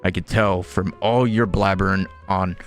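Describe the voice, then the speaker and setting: A man voicing a cocky, threatening bandit ringleader from a game, with a rough, taunting manner. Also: low-pitched, steady tone, moderate pace, soft background music.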